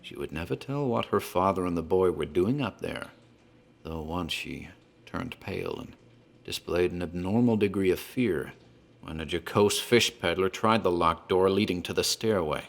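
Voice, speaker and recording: Low-voiced ominous tavern landlord storyteller. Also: male voice, gravelly. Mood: ominous, measured. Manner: measured, ominous, literary phrasing. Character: talkative rural tavern landlord telling a sordid local horror tale. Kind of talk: dramatic horror storytelling. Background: music.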